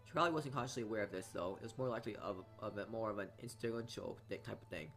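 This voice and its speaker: A man's voice: fairly low pitch, fairly bright tone. A man reading a visual novel's narration aloud and casually commenting, often stumbling over words. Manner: halting reader, often stumbles and repeats words. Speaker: video game let's player. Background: game music.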